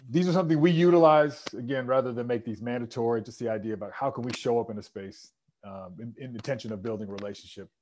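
A man in his forties moderating a video call panel from an office, relaxed with many fillers. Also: medium pitch. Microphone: earbud headset on a video call.

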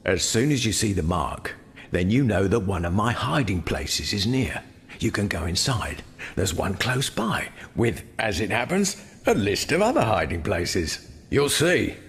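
Chatty underworld informant, very lively. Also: male voice, unhurried, exaggerated voice. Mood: helpful, boastful. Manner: chatty, teasing, streetwise. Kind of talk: video game voice acting. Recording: long reverb, faint background noise and rumble.

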